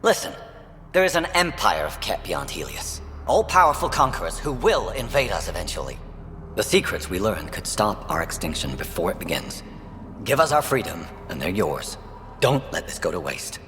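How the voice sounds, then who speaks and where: An actor playing a proud, calculating alien soldier and traitor in a video game, arguing with grandiose persuasion. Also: male voice, low-pitched, big pitch swings, normal speed, fairly bright tone, long reverb tail, soft background music. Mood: urgent, persuasive. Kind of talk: dramatic video game dialogue.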